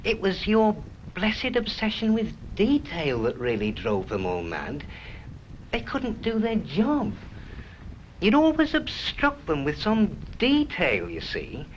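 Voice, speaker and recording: A muffled, faintly noisy old film track carries a blunt older man. His pitch swings dramatically as he explains himself, folksy and wordy. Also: huge pitch swings, slow pace, full character voice. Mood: reproachful. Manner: folksy yet wordy, plain-spoken explaining. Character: blunt old-fashioned confectionery worker reproaching the boss. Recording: muffled, hissy 1970s film soundtrack.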